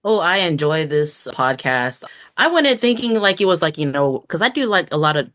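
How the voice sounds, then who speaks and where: A woman's voice: sits low, very animated, normal speed, a bit bright, no echo, clean studio sound. A woman co-hosting a podcast, talking in a casual, conversational way. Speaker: podcast co-host. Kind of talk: casual chat.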